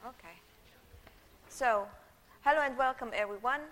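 A Hungarian woman in middle age, a native Hungarian speaker, lectures to a live class through a room microphone. Her voice is bright and forward, and her manner is chatty, with frequent check-ins with the audience. A long hall reverb trails her words. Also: a little high, unhurried. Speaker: university lecturer.

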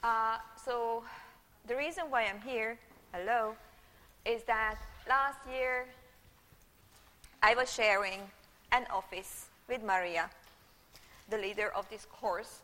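Middle-aged Hungarian woman lecturer, native Hungarian, bright, forward voice, chatty, keeps checking in with the room, recorded in a reverberant hall. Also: on the high side, slow pace, long pauses. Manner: chatty, checks in with the audience often. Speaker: university lecturer. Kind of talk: live university lecture. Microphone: room microphone.

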